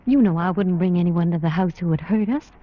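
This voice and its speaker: An actress playing a gentle, sheltered young woman who guards a hidden fear, earnest and soft-spoken in an old radio drama. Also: on the low side, a little fast, lightly acted. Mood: gentle, polite. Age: young adult. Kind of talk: 1940s-50s radio drama acting. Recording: muffled vintage radio with faint noise.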